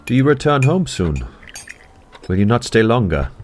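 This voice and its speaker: An actor playing a gentle, principled professor in a period audio play. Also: male voice, mid-range voice, sing-song, moderate pace, soft background music, natural voice. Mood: tender. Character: gentle, principled German professor, a grown man.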